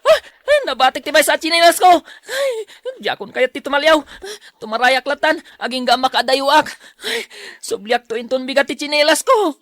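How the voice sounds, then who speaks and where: A woman's voice: high voice, sing-song, normal speed, a bit bright, no room sound, clean studio sound. A woman playing a timid, polite schoolboy in an audio drama, speaking hesitantly and bashfully. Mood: terrified.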